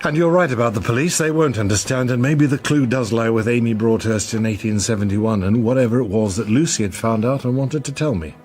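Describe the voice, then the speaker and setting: An actor playing an earnest, anguished man in a radio drama, speaking in a halting, intimate way. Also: mid-range voice, darkish tone, soft background music, close to an everyday voice. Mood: anguished, confiding.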